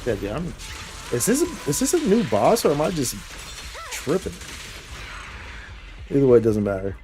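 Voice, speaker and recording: A man's voice: mid-range voice, wildly swooping pitch, moderate pace. A man livestreaming casual gameplay commentary in a slangy, dude-heavy way. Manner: slangy, addresses game and viewers as dude and boy. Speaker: game livestreamer. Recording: game music behind voice. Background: game music.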